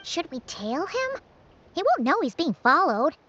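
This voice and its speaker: Squeaky, very high fairy companion. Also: female voice, sing-song, a little slow, bright, forceful tone, muffled recording, slight background noise, exaggerated voice. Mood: curious, scheming. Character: small excitable floating fairy girl companion, inquisitive. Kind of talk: animated video game voice acting.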